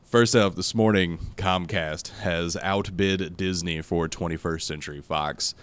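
A man hosting a casual news chat podcast, hoarse from losing his voice, rambling with fillers and frequent laughs. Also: low voice, steady tone, measured pace. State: hoarse, lost voice. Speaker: podcast host.

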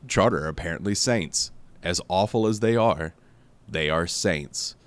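A man hosting a casual news chat podcast, hoarse from losing his voice, rambling with fillers and frequent laughs. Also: deep voice, measured pace, no echo. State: hoarse, lost voice. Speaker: podcast host.

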